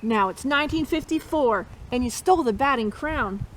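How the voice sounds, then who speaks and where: A woman's voice: high-pitched, animated, normal speed, slight background noise, low-quality recording. A woman reading a picture book aloud to children as storytime host, encouraging listeners and chanting along with them. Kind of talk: interactive read-aloud for children.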